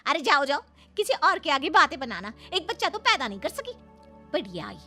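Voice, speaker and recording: A woman's voice: high voice, sing-song, brisk, bright, forceful tone, soft background music, heavily acted. A woman voicing a smug, scheming young maid turned mistress in a cartoon story, taunting, haughty and syrupy. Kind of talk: Hindi cartoon story voice acting.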